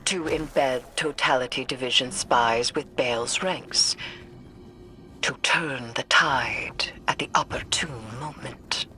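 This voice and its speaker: An actress playing a solemn, intimidating female scholar of dark lore in a video game, formal, measured and cool. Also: extremely low pitch, soft background music, exaggerated voice. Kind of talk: video game cutscene acting.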